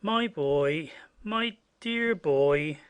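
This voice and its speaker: A man playing an elderly, earnest colonel who speaks haltingly and with fatherly courtesy in an audio stage play. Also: a little high, lively intonation, clear, resonant tone. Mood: deeply moved.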